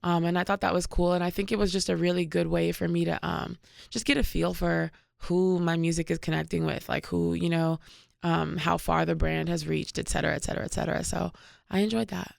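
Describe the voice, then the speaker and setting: A woman from Cameroon, a musician guest chatting in a relaxed, easygoing way in a radio studio. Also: on the low side, fairly quick, creaky, no room sound. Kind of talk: casual interview chat.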